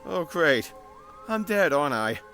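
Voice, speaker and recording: A man's voice: on the high side, very animated, unhurried, pushed and bright, soft background music, full character voice. A man playing a casual, sardonic, hesitant soul with a guilty conscience in an audio drama. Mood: flippant, startled. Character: newly dead man, flippant, nervous, guilt-ridden soul. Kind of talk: naturalistic audio drama acting.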